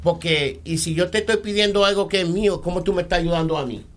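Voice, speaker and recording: A man's voice: high voice, a bit bright. A man with a Puerto Rican accent, a guest telling his story in an interview, often stopping to repeat words and asking if he is understood. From born in New York, raised in the Bronx. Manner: halting, repeats words, asks "¿entiende?".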